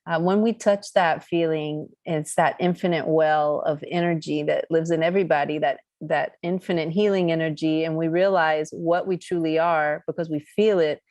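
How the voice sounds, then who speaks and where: Earnest, reflective woman with a lowish voice. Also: steady tone, moderate pace, few pauses, a little mellow, no room sound. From Greece. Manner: earnest, searching, with frequent restarts. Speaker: guest speaker. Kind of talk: reflective spiritual talk.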